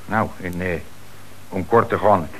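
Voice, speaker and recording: A man acting in a radio play as an excitable, streetwise young suspect, slangy, hesitant and defensive. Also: low-pitched, unhurried, slight background noise, low-quality recording. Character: excitable young streetwise male suspect telling his story.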